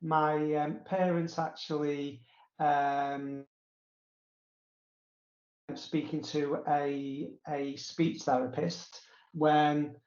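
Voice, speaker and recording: Male interview guest with a stutter, slow halting delivery, roomy and muffled recording. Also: fairly high pitch, level tone, a little mellow. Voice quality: stutter with blocks, repetitions and drawn-out pauses. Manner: halting, frequent fillers. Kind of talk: casual personal recollection. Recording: roomy, echoey, dull sound.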